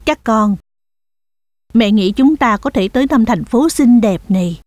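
Cartoon mother goat, high, dry close sound, measured, caring but firm. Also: lively intonation, a little mellow, dry recording. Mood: calm, explaining. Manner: explanatory, measured. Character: calm, firm mother goat, caring teacher of her kids. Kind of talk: children's cartoon acting.

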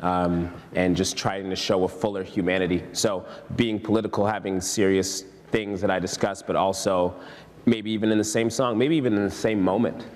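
A young man, a musician, speaking on a discussion panel in a relaxed, reflective way with frequent ums. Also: sits low, moderate pace, big-room sound. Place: TV-style studio set.

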